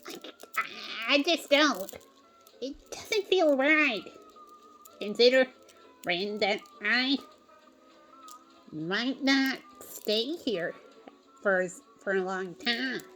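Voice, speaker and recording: A woman voicing a stubborn, weary cowboy sea sponge drifter in a cartoon fan drama, halting and folksy. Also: on the high side, lively intonation, fairly bright tone, soft background music, pitched above the performer's own voice, heavily acted. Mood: uneasy.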